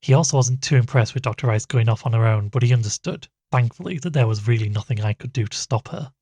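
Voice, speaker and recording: An anxious amateur investigator in a horror audio drama played by a male actor, earnest and hesitant. His voice is husky and recorded dry and close, with a worried edge. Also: mid-range voice, fast talker, warm tone, dry recording. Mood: brooding, worried. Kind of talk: audio drama dialogue and first-person narration.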